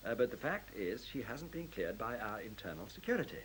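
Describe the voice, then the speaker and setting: A man's voice: on the low side, big pitch swings, brisk, slight background noise. A man playing a polite, hesitant, officious director of a secretive computer plant in a radio play. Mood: apologetic, firm.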